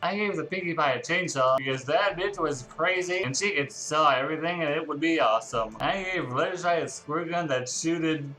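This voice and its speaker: A man's voice: fairly high pitch, normal speed, hardly any pauses, pushed and bright, poor audio. A man voicing a boastful, bratty young winged unicorn king telling a crude, self-important story of conquest. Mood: triumphant. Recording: music behind the voice.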